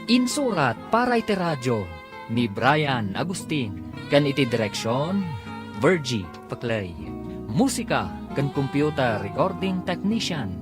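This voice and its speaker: Male radio announcer, lively pitch, over clear guitar music. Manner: measured, dramatic, drawn-out delivery. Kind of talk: formal radio announcing and recap narration. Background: guitar music bed.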